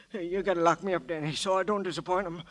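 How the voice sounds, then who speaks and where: An actor playing a jittery, pleading small-time misfit in an old radio crime drama, stammering and repeating himself. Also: male voice, sits high, fairly even intonation, fairly quick, a bit bright. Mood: pleading, anxious. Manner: stammering, repeating words, nervous chuckles. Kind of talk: 1950s radio crime acting. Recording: old radio recording with music underneath.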